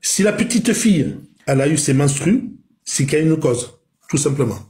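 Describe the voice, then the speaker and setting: A man's voice: a little high, lively intonation, normal speed, darkish tone, roomy sound. A man in an online voice-chat debate, hesitant and repeating himself as he stumbles over his words.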